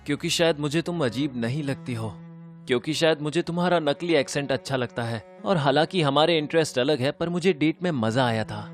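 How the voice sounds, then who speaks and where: A man playing a sincere, wounded teenage boy in an animated story, speaking earnestly and expressively. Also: on the high side, fairly quick, close to an everyday voice. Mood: hurt, sincere. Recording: music behind the voice.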